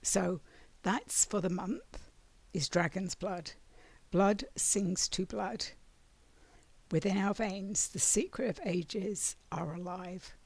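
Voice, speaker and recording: Raspy lady doing a slow rambling oracle card reading, dry close sound. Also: mid-range voice, dry recording. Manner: meandering, keeps clearing her throat. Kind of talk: spiritual monthly card reading.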